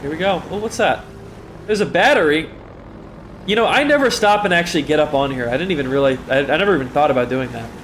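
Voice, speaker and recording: A man's voice: a little high, expressive, fast talker, a bit bright, a little hiss or hum. Stuffy, rambling streamer guy. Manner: rambling, self-deprecating, apologetic. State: stuffy nose, tired. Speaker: game streamer. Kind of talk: casual live-stream commentary.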